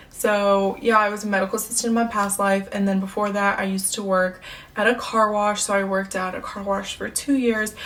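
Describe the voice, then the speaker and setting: A woman in her twenties, born in the United States, chatting upbeat to camera as a beauty vlogger, with lots of fillers. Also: medium pitch. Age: 20s. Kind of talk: casual chat to camera. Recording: slightly distant mic, faint room noise.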